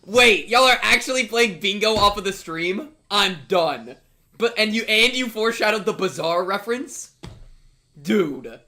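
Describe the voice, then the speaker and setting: A man hosting a live game playthrough, excitable and given to repeating his phrases, bantering with chat and reading game text aloud. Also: high-pitched. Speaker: livestream host.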